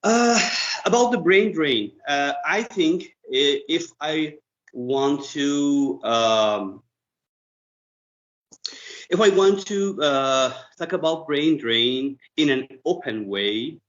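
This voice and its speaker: A man speaks slowly as an interview guest, pausing often and filling gaps as he thinks. His voice comes through a dull, lo-fi recording. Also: on the high side, animated. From Iran. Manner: thoughtful, halting with frequent fillers. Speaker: guest expert. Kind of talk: interview conversation in English.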